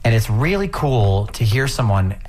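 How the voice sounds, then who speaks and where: A man's voice: lowish voice, very animated, moderate pace, a little mellow, a little hiss or hum. A man hosting a community radio music show, easygoing and agreeable, talking in long run-on thoughts. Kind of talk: radio interview and sign-off. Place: radio station studio.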